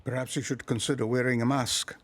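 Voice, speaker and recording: A man's voice: mid-range voice, little pitch movement, natural voice. An actor playing a formal, courteous, dryly polite man in a radio soap. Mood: concerned. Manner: formal, measured, dry.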